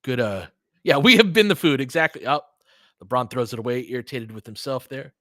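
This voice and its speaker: A man's voice: mid-range voice, expressive, brisk, pushed and bright, no echo. Conversational male sports commentator, dry close sound. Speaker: sports podcast host and live play-by-play commentator. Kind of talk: casual analysis and live game commentary, chatting with listeners.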